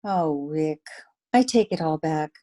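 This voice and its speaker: A woman acts out a private eye's wry girlfriend for a radio play. Her voice is dark and slightly low, with sassy, dry banter. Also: animated, takes it slowly. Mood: teasing. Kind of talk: old-time radio detective drama acting.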